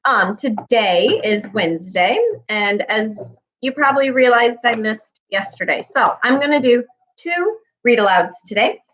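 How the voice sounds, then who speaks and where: A woman with a fairly high voice does a children's read-aloud. She presents and narrates a storybook. The recording is slightly dull and lo-fi. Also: expressive, a little slow, fairly bright tone, a little hiss or hum.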